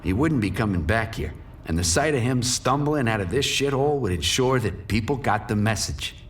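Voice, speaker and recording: A man's voice: fairly low pitch, lively intonation, moderate pace, a little hiss or hum, close to an everyday voice. An actor playing a bitter, menacing drug dealer who narrates his crime drama with cynical, profane threat. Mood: brooding, cynical. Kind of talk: noir first-person scripted narration and dialogue.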